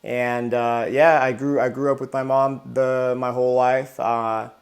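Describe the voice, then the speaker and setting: Halting, flat-voiced retired Marine. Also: male voice, mid-range voice. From Southern California. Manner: halting, many restarts and repeated words. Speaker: interview guest, retired Marine. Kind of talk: casual interview.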